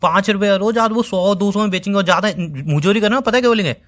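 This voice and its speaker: A man's voice: high-pitched, fast talker, a bit bright. A young man playing an exasperated, teasing, thrifty boyfriend in an acted phone-call skit, arguing colloquially and repeating himself. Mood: ranting. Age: young adult. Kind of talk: acted casual phone argument.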